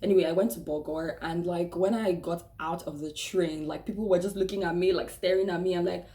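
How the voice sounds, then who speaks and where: A woman's voice: on the low side, steady tone, fairly quick, warm tone. A woman with a Nigerian accent talking candidly in a casual vlog, with many "like"s and "um"s, laughing easily. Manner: chatty and candid, with frequent "like" and "um" and restarts; laughs easily and acts out quoted voices. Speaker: vlogger. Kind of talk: casual personal vlog talk.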